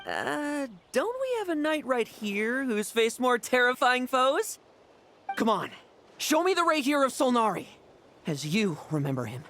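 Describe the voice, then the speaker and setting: A woman's voice: high-pitched, sing-song, unhurried, slight background noise, full character voice. A woman voicing a cocky, battle-hungry young knight in a video game, with a brash, taunting delivery. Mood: defiant. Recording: loud music under the voice.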